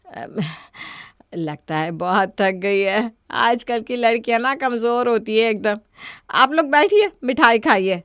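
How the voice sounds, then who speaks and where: A woman's voice: a little high, animated, slow pace, a bit bright, clean tone, dry recording, clean studio sound. Woman as a coaxing middle-aged mother-in-law. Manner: coaxing, apologetic. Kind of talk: Hindi cartoon story dialogue.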